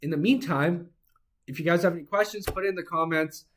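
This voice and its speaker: A man's voice: fairly high pitch, a little fast, slight background noise. A man hosting an informal trading livestream, hyping with catchphrases and answering viewer comments as he goes. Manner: hype-driven, informal, repeats catchphrases. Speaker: livestream host, trader. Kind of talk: live-stream sales pitch and chat replies. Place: office.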